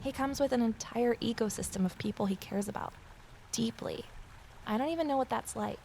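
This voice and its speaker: A woman's voice: fairly high pitch, fairly quick, gravelly, darkish tone, slight background noise. An actress playing a guarded, lonely amateur sleuth who narrates her own mystery in an introspective audio drama style. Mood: wistful.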